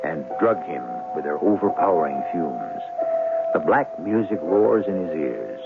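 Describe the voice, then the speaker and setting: A man with a low, creaky voice hosts a vintage radio drama. The narration is scripted, ominous and confiding, in dull broadcast sound with music swelling loudly behind. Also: animated, unhurried. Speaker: host and narrator of radio mystery series. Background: loud dramatic music.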